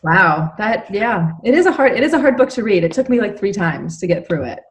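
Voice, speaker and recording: A woman's voice: medium pitch, sing-song, fairly quick, a little hiss or hum. A woman on a book panel, chatting casually and reading short reviews aloud.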